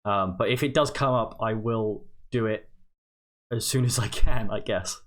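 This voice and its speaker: A man's voice: on the low side, moderate pace, fairly bright tone. A young man hosting a charity livestream, chatting through casual announcements in a hesitant, unscripted way. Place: indoor home streaming setup.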